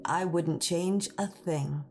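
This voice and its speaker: An actress in an audio drama playing a tender, self-possessed immortal woman with a measured, knowing manner. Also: on the low side. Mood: content, proud. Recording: loud music behind the voice.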